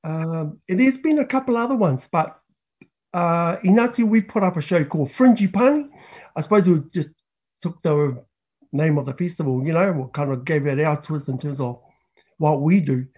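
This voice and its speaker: Husky, mellow, higher-pitched man. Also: animated, normal speed, muffled recording. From Tokelau. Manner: hesitant, thinking aloud. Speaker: interview guest, musician and music teacher. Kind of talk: casual spoken interview.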